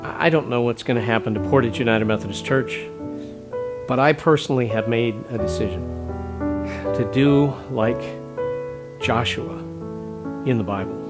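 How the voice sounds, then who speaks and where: A man preaching a stewardship sermon as pastor, measured and plain-spoken, working through numbers aloud. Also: medium pitch. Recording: music bed underneath.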